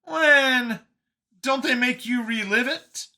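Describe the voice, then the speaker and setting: A man voicing an excitable, easily shocked doctor in an audio story, high and strained, with halting, exclaiming speech. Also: exaggerated voice. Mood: incredulous. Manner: exclaiming, halting when searching for words.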